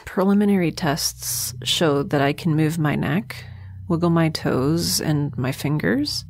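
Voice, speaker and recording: A woman's voice: sits low, lively intonation, measured pace, heavily acted. An actress playing a weary, sardonic young woman held captive in space in an audio drama, with a wry, rambling manner. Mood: groggy, puzzled. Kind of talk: audio drama monologue and dialogue.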